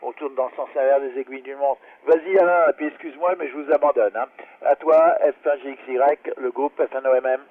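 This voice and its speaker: A man's voice: medium pitch, fairly even intonation, brisk, no echo. A male amateur radio operator chatting casually with his group over a transceiver link. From France. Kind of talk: casual radio sign-off chat. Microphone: radio transceiver microphone. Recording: distant, narrowband ham radio transmission.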